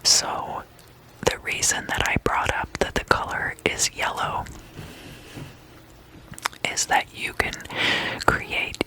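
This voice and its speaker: Slow, crisp whispering ASMR host. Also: poor audio. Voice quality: breathy, unvoiced whisper. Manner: soft, unhurried, repeats words slowly. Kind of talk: whispered ASMR guided meditation lesson.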